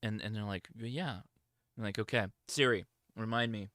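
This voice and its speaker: A man's voice: fairly low pitch, normal speed, bright, forceful tone, no echo, studio quality. A man hosting a livestream, answering chat questions in a casual, informal, enthusiastic hobbyist way.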